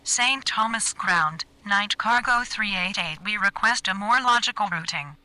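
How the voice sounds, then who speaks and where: A woman's voice: medium pitch, a little slow, pushed and bright, rough recording. A synthetic-sounding woman's voice playing a businesslike cargo pilot in a game, giving clipped, formal radio readbacks. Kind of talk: scripted radio phraseology.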